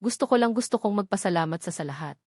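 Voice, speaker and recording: A woman's voice: medium pitch, expressive, a little mellow, slightly rough, dry recording, clean studio sound. Nervous, wary young woman, read by a narrator. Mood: hesitant, grateful. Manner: hesitant, halting.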